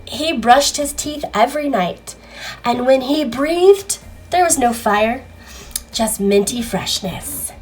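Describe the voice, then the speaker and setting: A woman reading a children's book aloud in an expressive, deliberate, theatrical storytelling manner. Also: on the high side, slight background noise, poor audio.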